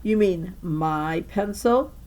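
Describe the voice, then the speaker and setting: A woman's voice: fairly low pitch, slow, darkish tone. A woman voicing a smug, dismissive fifth-grade boy in a children's novel.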